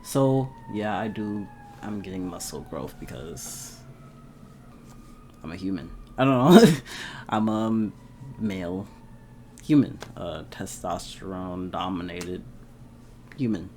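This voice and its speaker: A young man chatting casually to his camera at home, laughing often and getting animated at times. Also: sits low, slow pace, long pauses, a little hiss or hum. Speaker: vlogger. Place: indoors at home.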